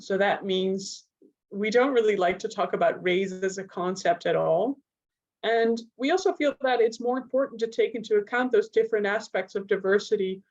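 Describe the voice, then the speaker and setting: A woman's voice: mid-range voice, a little mellow. A woman from the Netherlands, a native Dutch speaker with a Dutch accent, giving a semi-spontaneous academic talk as a clinician, explaining with examples. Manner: explanatory, illustrates points with examples. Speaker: clinical neuropsychologist giving a lecture. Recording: dull, lo-fi audio.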